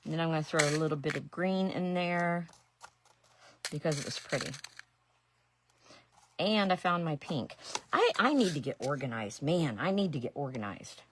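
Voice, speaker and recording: Low voiced ohio lady rambling thru a craft livestream, bit of hiss behind. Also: lively intonation, normal speed, pauses often. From Ohio, USA. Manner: chatty, rambling, self-deprecating asides. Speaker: resin artist and painting teacher. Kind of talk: casual live craft stream.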